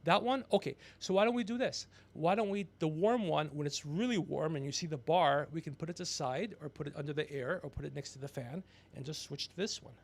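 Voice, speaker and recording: A man with a fairly high, gravelly voice hosts a loose comedic livestream. He rambles through stories and banter, blunt and profane, often needling whoever he is talking to. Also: a little fast, hardly any pauses. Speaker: podcast livestream host.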